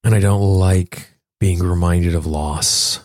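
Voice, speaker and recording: Low-voiced man guiding self-help, dry sound. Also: fairly even intonation, slow pace, a little mellow, no echo. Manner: explanatory, instructing the listener directly. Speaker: podcast host and tapping coach. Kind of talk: conversational self-help talk and guided tapping exercise.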